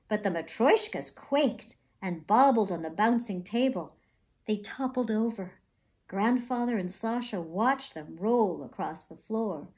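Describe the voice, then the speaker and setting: A woman reading a children's story aloud with expressive, dramatic, emphatic narration. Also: medium pitch.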